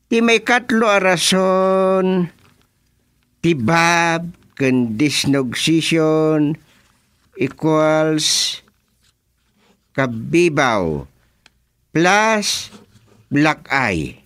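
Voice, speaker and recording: Slow, officious Ilocano village chairman. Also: fairly high pitch, lively intonation, a bit bright, clean tone, dry recording, clean studio sound, exaggerated voice. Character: village chairman, deliberate, officious, teasing interrogator. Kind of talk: Ilocano comedy radio drama acting.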